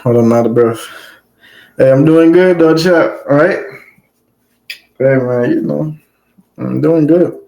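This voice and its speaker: A man's voice: fairly high pitch, measured pace, gravelly, pauses often, dark tone, poor audio. A streamer, reading a story aloud and breaking off for loose, joking asides to his chat. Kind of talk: casual commentary between read passages.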